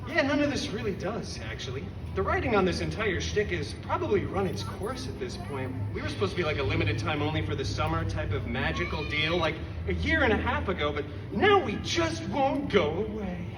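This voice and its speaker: Sarcastic masked merc, high bouncy voice, echoey outdoor stage from far off, music going. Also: male voice, brisk, few pauses. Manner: sarcastic, animated, fourth-wall jokes. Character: wisecracking masked male mercenary storyteller. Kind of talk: live theme-park comedy show. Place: outdoor theme-park stage.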